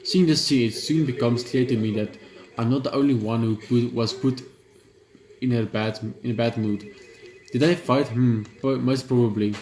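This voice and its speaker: A man's voice: mid-range voice, fairly even intonation, normal speed, a little mellow, echoey room, low-quality recording. A man reading a horror visual novel aloud and commenting as he plays, in a plain way with stumbles over words. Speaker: gaming YouTuber.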